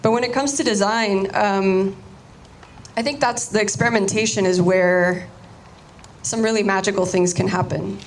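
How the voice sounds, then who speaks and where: A young woman talks informally to a room about her art, her voice at a middle pitch. She often circles back and restarts her sentences. A faint hiss of noise sits behind her. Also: fairly even intonation, moderate pace. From United States, Cuban-American family. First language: Spanish. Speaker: artist giving a talk. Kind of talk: casual artist talk to an audience.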